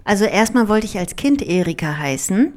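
A woman's voice: medium pitch, very animated, moderate pace, a little mellow. Candid, reflective woman telling her story. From Germany, raised partly in Greece. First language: Greek. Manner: reflective, candid, with frequent fillers and restarts. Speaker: author and performer, guest. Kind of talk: relaxed conversational interview.

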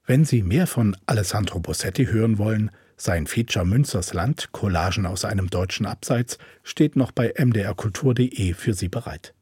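A man reading a program announcement on the radio in a measured, scripted way. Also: fairly low pitch, darkish tone, no echo, studio quality. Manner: smooth, measured read.

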